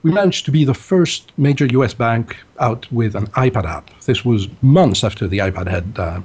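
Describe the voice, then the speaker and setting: A man's voice: medium pitch, expressive, normal speed, a little mellow, husky. A man being interviewed as a bank executive, answering with frequent ums and uhs. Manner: hesitant, frequent uh and um.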